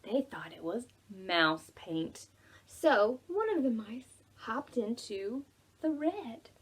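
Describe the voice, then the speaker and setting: A woman hosting preschool storytime as a children's librarian, reading aloud and leading action rhymes in an animated, singsong, exaggerated way for small children. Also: fairly high pitch, slow pace, slightly rough.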